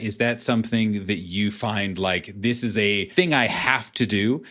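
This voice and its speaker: A man's voice: medium pitch, a bit bright. A man hosting a casual interview, asking long questions that he rephrases as he goes. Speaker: podcast interviewer.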